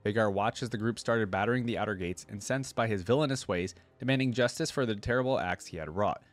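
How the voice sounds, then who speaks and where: A young man reading lore aloud and commenting casually, with expressive reading and conversational asides, close to a desk microphone. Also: fairly low pitch, fast talker, faint music behind. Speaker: reaction video host. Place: indoor streaming setup. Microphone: close desk microphone on a boom arm.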